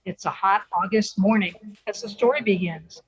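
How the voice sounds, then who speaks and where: A woman's voice: mid-range voice, moderate pace, slightly rough, highs cut off. A woman announcing and narrating a scripted old-time radio show in a presentational manner.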